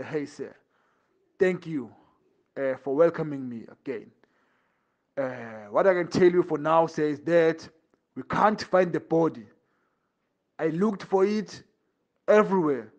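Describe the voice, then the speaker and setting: Grovelling henchman played by a man, high voice, deferential, repeats himself, audio drama. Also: lively intonation, measured pace, pauses often, a little mellow, highs cut off. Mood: nervous apology. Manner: pleading, repetitive, deferential. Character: servile, desperate henchman grovelling to his boss. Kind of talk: amateur audio drama acting.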